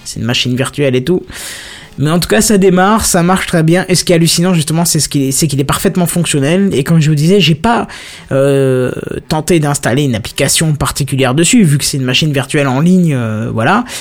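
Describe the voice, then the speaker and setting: A man's voice: fairly high pitch, fairly quick. A man hosting a casual live tech news show, chatty, often stumbling over words and repeating himself. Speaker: podcast host.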